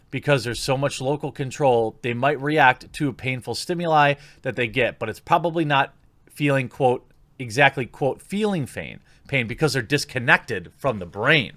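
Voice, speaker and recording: A man's voice: mid-range voice, fairly bright tone, no echo. A man giving disapproving, emphatic opinion commentary as an online host, moving between reading out and speaking off the cuff.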